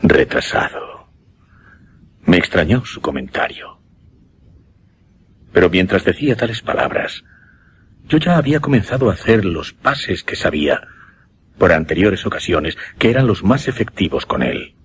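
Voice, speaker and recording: An actor playing a solemn hypnotist recounting a macabre experiment in a Spanish radio drama, with a Castilian accent and measured, dramatic pauses. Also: male voice, sits low, very animated, slight background noise.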